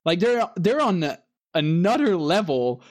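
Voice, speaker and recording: A man's voice: high-pitched, very animated, a little slow. A man co-hosting a podcast, chatting casually and rambling with lots of fillers and restarts. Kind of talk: casual chat.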